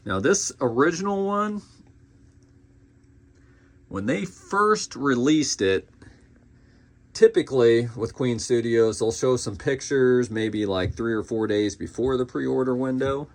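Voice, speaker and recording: A man giving a casual, unscripted collectible review, thinking aloud with halting pauses. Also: mid-range voice, a little mellow.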